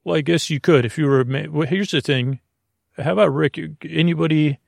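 Gravelly guy doing a rambly sleep podcast, lots of hesitating and wandering off, really dry sound. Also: on the high side, dry recording. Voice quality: gravelly, creaky. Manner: hesitant, halting, trailing off into tangents. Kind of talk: rambling, meandering bedtime monologue.

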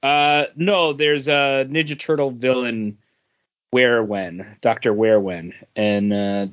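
A man's voice: mid-range voice, fairly even intonation, slow pace, dry recording. Man in dry, slightly muffled casual chat. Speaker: podcast co-host. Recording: dry, dull lo-fi audio.

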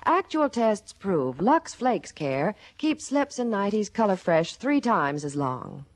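A woman's voice: mid-range voice, sing-song, slow. A woman reading a 1950s radio advertisement with an emphatic sales delivery. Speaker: commercial spokeswoman.

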